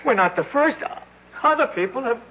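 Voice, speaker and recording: A man's voice: very high-pitched, animated, brisk, breathy edge, full character voice. An actor in a radio drama playing a tense, stammering young man trapped in a cave with his sweetheart. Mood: excited, urgent. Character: strained young husband or lover trapped in a cave. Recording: muffled, noisy old radio recording.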